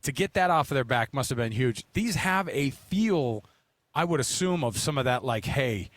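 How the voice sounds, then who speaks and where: An American man hosts a sports radio program in a casual talk style. His voice sits at a middle pitch. The sound is dry, with very little echo. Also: animated, normal speed, fairly bright tone. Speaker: sports radio show host. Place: outdoors under promotional tents on a plaza.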